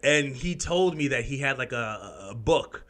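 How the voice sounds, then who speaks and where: A man's voice: mid-range voice, lively intonation, unhurried, a bit bright. A man who is a comedian, chatting as a podcast guest, upbeat and laughing a lot. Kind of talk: casual chat.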